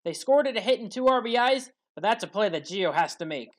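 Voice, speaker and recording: A woman giving scripted play-by-play recap narration as a sports highlights commentator. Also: expressive, fairly quick.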